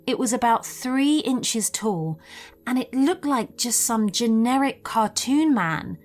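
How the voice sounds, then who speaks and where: A woman's voice: a little high, expressive, slow. A woman reading a scripted first-person story as a narrator of scary tales. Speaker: narrator of listener-submitted scary stories.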